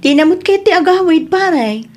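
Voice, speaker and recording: A woman with an Ilocano accent playing a blunt, animated, gossiping family friend in an audio drama. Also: very high voice, normal speed. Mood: gossipy, reluctant.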